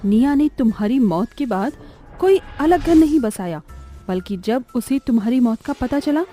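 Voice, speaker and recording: A woman's voice: a little high, lively intonation, moderate pace, dark tone, soft background music. A woman voicing a bold, earnest young woman in a horror cartoon, speaking dramatically. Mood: urgent, earnest. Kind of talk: Hindi horror cartoon voice acting.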